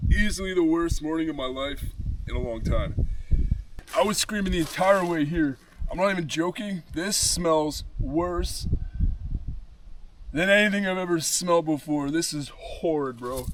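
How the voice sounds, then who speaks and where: Disgusted young man, voice going hoarse. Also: a little high, darkish tone, a little hiss or hum. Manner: disgusted, exasperated, swearing. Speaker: vlogger. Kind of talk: casual vlog commentary. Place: outdoors at a remote dumping spot.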